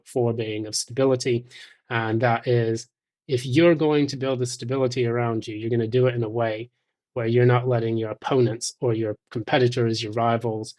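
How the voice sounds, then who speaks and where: Male tarot reader, mid-range voice, unscripted and explanatory, measured delivery that pauses often between thoughts. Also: medium pitch, a little mellow. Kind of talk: intuitive tarot reading, addressed to the listener.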